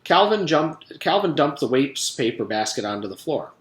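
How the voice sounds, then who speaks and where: A man's voice: a little high, very animated, moderate pace, reverberant. A man reading a children's storybook aloud like a teacher, expressively and speaking directly to young listeners.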